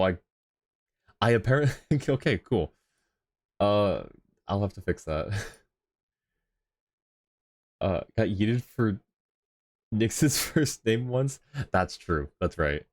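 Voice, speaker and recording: A man's voice: fairly low pitch, a little mellow. A man streaming and chatting casually with viewers, laughing often in an easygoing, rambling way.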